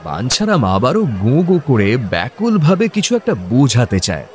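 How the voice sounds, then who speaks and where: Male narrator, mid-pitched, very varied intonation, scripted radio storytelling. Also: wildly swooping pitch, brisk.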